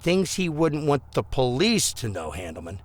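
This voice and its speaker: A man's voice: medium pitch, very animated, moderate pace, lightly acted. A man playing a corrupt, bullying policeman in an audio drama, mock-friendly and insinuating as he leans on people. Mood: sly persuasion. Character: corrupt small-town policeman, smooth, bullying blackmailer.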